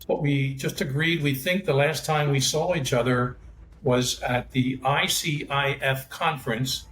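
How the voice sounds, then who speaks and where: A man's voice: medium pitch, fairly even intonation, a little slow, fairly bright tone, a little hiss or hum. Guy cohosting a podcast, rambly with lots of uhs, echoey room. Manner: rambling, many fillers. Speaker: podcast co-host, former profiler. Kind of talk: casual podcast chat. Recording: roomy echo.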